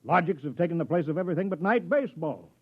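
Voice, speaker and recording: A middle-aged male boss in a vintage radio play, blustering and dismissive. He talks fast in a husky voice. The recording is tinny and narrow. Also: fairly high pitch, big pitch swings. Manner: hurried, blustering, stammering when rattled. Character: blustering, dismissive company boss of forty-five. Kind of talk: old-time radio drama acting.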